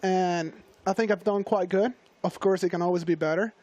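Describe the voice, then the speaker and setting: A young man from Venezuela, a guest rider in a TV studio interview, talking at length in a relaxed, reflective way. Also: high voice, moderate pace, no room sound.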